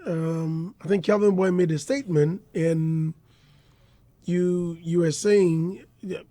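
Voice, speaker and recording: A high-voiced man with a Ghanaian English accent hosts a radio show from the studio. He talks slowly and deliberately and stretches out his questions. The sound is dry and close, straight into a studio microphone. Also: fairly even intonation, mellow, dry recording. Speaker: radio host. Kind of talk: morning radio interview.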